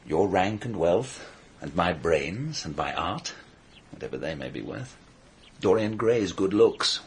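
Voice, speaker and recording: An actor playing an earnest, secretive painter with an English accent, hesitant and intense, in a radio drama. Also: male voice, low voice, lively intonation, unhurried. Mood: intense confiding.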